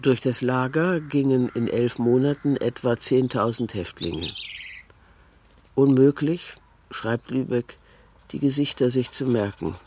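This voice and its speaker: A man reading scripted literary narration in a deliberate, matter-of-fact manner. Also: medium pitch, dark tone, a little hiss or hum.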